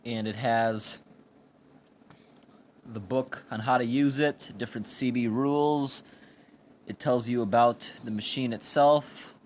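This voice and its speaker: A man giving an informal hands-on product review in a plain, explanatory way with halting phrases, recorded indoors on a handheld camera. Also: mid-range voice. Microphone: handheld camera or phone microphone. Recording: amateur recording with background room noise.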